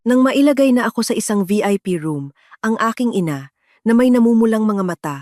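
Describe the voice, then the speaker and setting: A woman's voice: on the high side, lively intonation, brisk, a little mellow, dry recording, studio quality. A woman reading a scripted, dramatic first-person story as its narrator.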